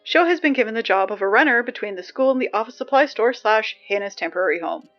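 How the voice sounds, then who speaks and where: Audio drama: woman playing a nervy, sardonic schoolgirl on morning announcements, highish pitch, self-interrupting, slightly dull sound. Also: animated, quick pace, faint music behind, natural voice. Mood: wry, grudging. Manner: rambling, sardonic asides, hesitant self-interruptions. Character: anxious, wry high school girl reading morning announcements.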